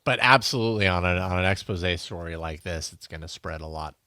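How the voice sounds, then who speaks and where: A man's voice: low-pitched, brisk, bright, forceful tone, clear, resonant tone, no echo, studio quality. A man co-hosting a podcast in casual chat, easygoing and agreeable, with many "you know"s and "like"s. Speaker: podcast co-host.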